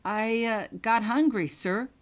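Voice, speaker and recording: A woman's voice: a little high, slow pace, voice pushed up. A woman voicing a frightened young schoolgirl in a storybook reading, meek, hesitant and stammering as she answers. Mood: nervous. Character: frightened young schoolgirl, meek and submissive first-year student.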